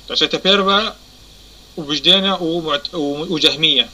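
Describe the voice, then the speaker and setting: A man teaching a religious lesson. He pauses often, corrects himself, and keeps asking if his listeners understand. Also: fairly high pitch, sharp tone, poor audio. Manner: halting, many fillers and self-corrections. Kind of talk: explanatory lesson.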